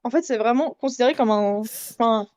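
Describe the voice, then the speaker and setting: A woman in an unscripted debate, speaking in a measured, self-effacing way and qualifying her points. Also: on the high side, a little mellow. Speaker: podcast debate participant, audio fiction writer.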